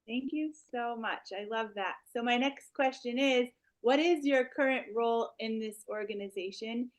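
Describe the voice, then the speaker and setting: A woman's voice: a little high, moderate pace. A woman hosting a career speaker series, politely reading out her interview questions.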